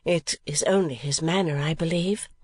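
Storybook figure: earnest young woman, soft and halting, read by a woman narrator, dry close sound. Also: deep voice, husky, no echo.

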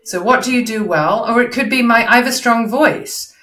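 A woman's voice: on the high side, moderate pace, pushed and bright. A middle-aged woman giving instructive public speaking advice as an interview guest, posing rhetorical questions and examples. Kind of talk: explanatory coaching advice in an interview. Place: indoor room, video call. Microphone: video call, no headset seen. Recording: echoey room over video call.

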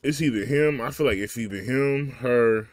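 A man's voice: mid-range voice, normal speed, no room sound. A man hosting a reaction video, talking casually and slangily straight to his viewers. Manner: laid-back, slangy, speaks straight to viewers with frequent "y'all".

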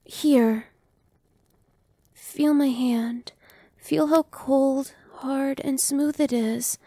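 A young woman voicing a gentle female vampire who speaks softly and slowly, sometimes whispering. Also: sits high, steady tone, no echo, exaggerated voice. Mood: soothing. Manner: soft, slow and reassuring, dropping to whispers. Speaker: voice actress. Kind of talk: ASMR roleplay.

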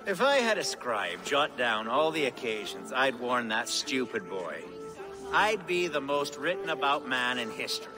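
A man's voice: medium pitch, very animated, unhurried. An actor playing a boorish, sarcastic Saxon nobleman with a sneering manner in a video game. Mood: scornful. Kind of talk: video game cutscene acting. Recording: loud music behind the voice.

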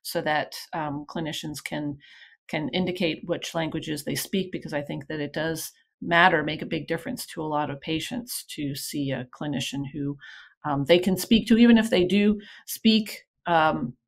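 A woman's voice: deep voice, fairly even intonation, moderate pace, vocal fry. A middle-aged woman hosting an interview over a video call, encouraging and supportive. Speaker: podcast host.

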